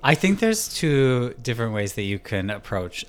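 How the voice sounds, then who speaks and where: A man co-hosting a casual group chat, talking in an animated, filler-heavy way. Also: mid-range voice, moderate pace, heavy background noise. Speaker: podcast co-host.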